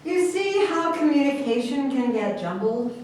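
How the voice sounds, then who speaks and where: A woman's voice: sits high, very animated, normal speed, a little mellow. A woman playing an authoritative general who mediates with formal exasperation in a staged reading of a satirical play. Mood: exasperated. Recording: very echoey, noisy room, distant mic.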